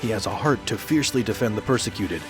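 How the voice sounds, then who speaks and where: A man's voice: lowish voice, expressive, quick pace. A man reading dramatic scripted narration in a grand, epic storytelling manner. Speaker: narrator of character introductions. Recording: voice over prominent music. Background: music.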